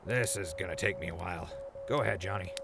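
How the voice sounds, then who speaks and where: A man's voice: low-pitched, fast, gravelly, over music. An actor playing a grumpy, foul-mouthed wasteland radio man in a post-apocalyptic audio drama, muttering and grumbling. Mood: resigned grumbling.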